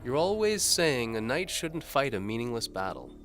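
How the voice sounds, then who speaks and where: A man performs a fierce townswoman from a fantasy audio drama. She talks back sharply and defiantly, pitched well above his deep narrating voice. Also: sing-song, normal speed, fairly bright tone, slight background noise. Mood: challenging. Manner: snappish, challenging. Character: fierce, defiant townswoman urging on a reluctant knight.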